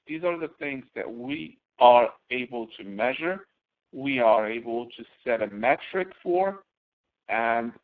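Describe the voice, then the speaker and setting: A man giving an instructional walkthrough as a course instructor, explaining step by step and posing questions that he then answers, in a gravelly voice. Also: mid-range voice. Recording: narrow, phone-like audio.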